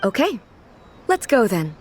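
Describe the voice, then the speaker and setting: A regal, high-voiced, earnest female ruler. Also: big pitch swings, slight background noise. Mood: relaxed, curious. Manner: formal, measured, composed. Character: regal female ruler, curious, earnest, naively literal, composed. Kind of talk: video game character dialogue.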